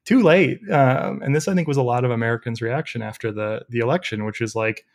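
A young man with a loud voice, a podcast guest telling stories casually with many fillers. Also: medium pitch, brisk. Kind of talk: casual storytelling interview.

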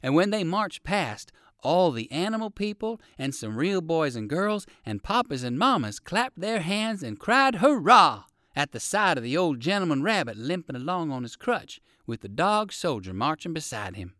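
A man narrating a children's story in an expressive, dramatic manner. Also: fairly high pitch, moderate pace, hardly any pauses, dry recording, clean studio sound.

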